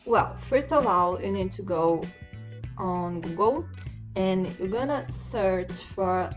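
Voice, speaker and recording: Young woman, English, slow and halting, tutorial over background music. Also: medium pitch, lively intonation. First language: Portuguese. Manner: hesitant, many fillers and restarts, says "okay?" often. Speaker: language learner vlogger. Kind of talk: casual screen-share tutorial.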